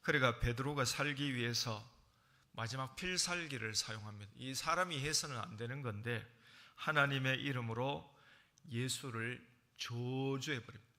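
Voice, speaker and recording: A man with a Gyeongsang accent delivers a sermon as pastor. His voice is very bright and forceful, and he retells the story in a lively way with acted-out parts. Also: mid-range voice, moderate pace. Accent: Gyeongsang Korean. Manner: animated storytelling, acting out scenes.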